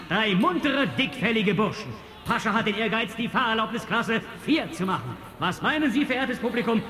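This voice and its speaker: Ringmaster, radio drama: grandiose announcing, extremely high pitch, big echoing hall. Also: male voice, bright, forceful tone, heavily acted. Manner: declamatory, grandiose. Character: showy male circus ringmaster announcing an act. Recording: echoey big hall, noisy, from afar.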